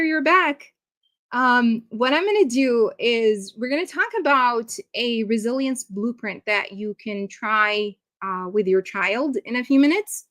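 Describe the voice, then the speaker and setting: Woman webinar presenter from Iraq, slightly high voice, encouraging and casual with filler words, on a video-call mic. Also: a bit bright. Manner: conversational, encouraging, frequent ums and uhs. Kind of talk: live webinar talk to parents.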